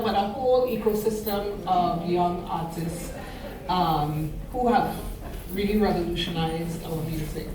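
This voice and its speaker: A woman interviewer asking warm, knowledgeable questions on stage. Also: mid-range voice, noisy background. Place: event stage. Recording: very echoey, distant event recording.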